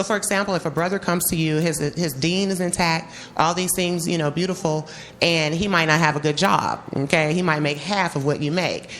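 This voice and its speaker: A woman with a low voice comments from the floor at a public forum, over faint noise. Her delivery is informal and emphatic, making her opinion plain in everyday conversational language. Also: fairly bright tone. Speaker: audience member commenting from the floor.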